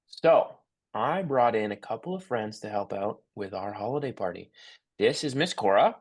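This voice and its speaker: Table read role: an actor as an easygoing male teacher, cheerful classroom manner, very animated delivery. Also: mid-range voice, normal speed. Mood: upbeat. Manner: upbeat, coaxing classroom talk. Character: cheerful, easygoing male schoolteacher named Mister Doolin.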